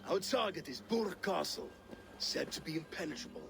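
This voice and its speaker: Fervent Viking captain, high voice, swinging intonation, earnest game drama, noisy backdrop. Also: male voice, expressive, moderate pace, creaky. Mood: earnest briefing. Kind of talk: dramatic video game acting. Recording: game audio with background noise.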